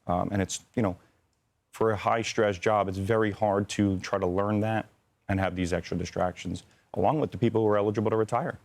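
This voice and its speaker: A man with a deep voice and a lot of vocal fry gives interview answers as a working controller. He is plain-spoken and matter-of-fact, pausing and picking his words as he goes. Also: fairly even intonation, darkish tone. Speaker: air traffic controller, interview guest.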